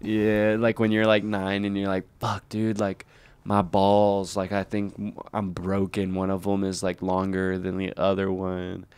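A man's voice: deep voice, monotone, a little slow, a little mellow, clean tone, dry recording. A young American man chatting casually as a comedic podcast co-host, slangy and sweary, with "like" all through his speech. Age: young adult. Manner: slangy, heavy use of "like" and swearing. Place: living room indoors, by a fireplace. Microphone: handheld microphone held close.

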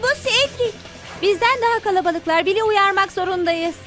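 A woman's voice: extremely high pitch, brisk. A woman voicing an alert, anxious teenage heroine in a cartoon dub. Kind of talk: animated series dub acting. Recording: music behind voice.

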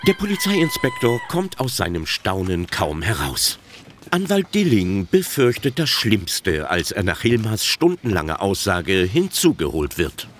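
A man's voice: fairly low pitch, huge pitch swings, measured pace, a bit bright, slight background noise. A man narrating a scripted comedy audio drama as its storyteller.

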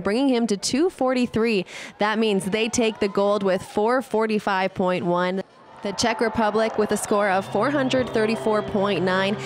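A woman giving scripted sports highlights commentary as a voice-over. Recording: clear ambient event noise behind voice.

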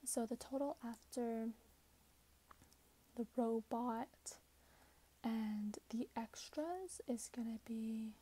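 A woman in her twenties speaking in a hushed, breathy whisper, gently role-playing a customer service clerk for ASMR. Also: fairly high pitch, takes it slowly, long pauses. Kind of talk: soft-spoken ASMR role-play. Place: small plain indoor room.

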